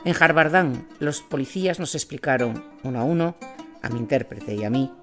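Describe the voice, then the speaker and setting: A man narrating a scripted audio drama as a war reporter, in a measured, solemn storytelling manner. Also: medium pitch, a bit bright, close to an everyday voice. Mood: grave, measured. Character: male war reporter recounting grim events in Iraq. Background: music bed.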